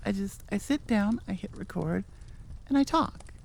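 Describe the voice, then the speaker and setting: A woman's voice: mid-range voice, lively intonation, normal speed, gravelly, a little mellow, poor audio. A woman who makes audio roleplays, chatting informally and without a script to her listeners in a rambling way. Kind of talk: casual unscripted chat to listeners.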